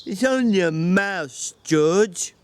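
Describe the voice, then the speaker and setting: A man voicing a simple-minded, childlike man in a story reading, eager and forgetful, with a halting, stammering delivery. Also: high-pitched, animated, voice pushed up. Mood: sheepish, pleading.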